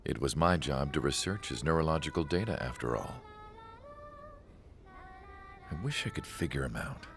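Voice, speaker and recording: A man playing a solemn scientist who narrates a video game story in a measured, reflective way. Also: a bass voice, lively intonation, creaky, a bit bright, soft background music, full character voice. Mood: wistful. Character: solemn older male research scientist recalling an ace pilot. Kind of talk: scripted dramatic cutscene narration.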